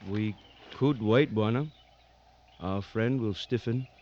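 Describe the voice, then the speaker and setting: Low-voiced, terse safari tracker, old radio. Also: male voice. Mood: quiet, cautious. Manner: terse, measured, stoic. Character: calm, watchful male safari tracker in Kenya, loyal. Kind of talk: 1940s-60s radio drama acting. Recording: dull, noisy old radio recording.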